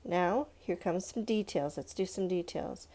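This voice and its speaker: Low, mellow-voiced woman teaching drawing. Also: unhurried. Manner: encouraging, thinking aloud, addressing young viewers with "let's" and check-ins. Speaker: art teacher for children. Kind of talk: step-by-step drawing lesson, informal and conversational.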